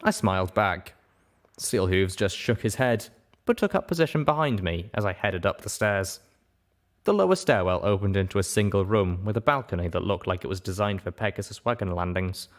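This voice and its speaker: Low-voiced man narrating fiction. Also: expressive, moderate pace. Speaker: audiobook narrator. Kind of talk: scripted first-person story narration.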